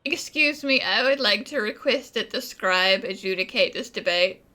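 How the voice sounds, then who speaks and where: A woman's voice: mid-range voice, lively intonation, normal speed, bright, forceful tone. An actress playing a blunt, defiant woman in a video game debate, pointed and assertive.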